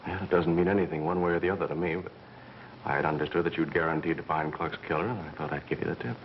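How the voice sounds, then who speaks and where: Low, fast-talking informer. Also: male voice, fairly even intonation. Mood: sly, casual. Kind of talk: 1930s film acting. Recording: dull vintage film soundtrack, faint noise.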